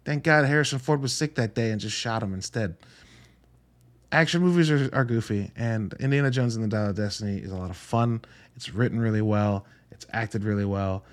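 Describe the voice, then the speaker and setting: A man's voice: medium pitch, darkish tone. A man hosting a solo podcast in a casual, enthusiastic and emphatic way, with informal language and wry asides. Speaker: podcast host reviewing films.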